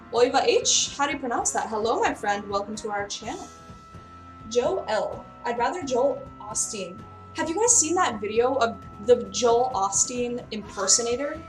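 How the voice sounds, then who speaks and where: A young woman cooks live and talks easily with her viewers, answering their messages as she goes. Her voice sits a little high. It sounds roomy and off-mic, with faint music behind her. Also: far from the mic. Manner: chatty, reads out and answers chat. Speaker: streamer cooking live. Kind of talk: casual live-stream chat. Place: kitchen in a friend's house.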